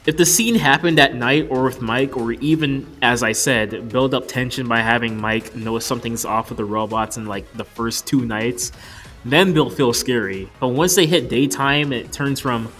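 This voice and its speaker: Guy in his 20s doing a casual scripted film review, regular pitched voice, faint music under it. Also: moderate pace, a bit bright. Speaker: video reviewer. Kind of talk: opinionated scripted movie review narration.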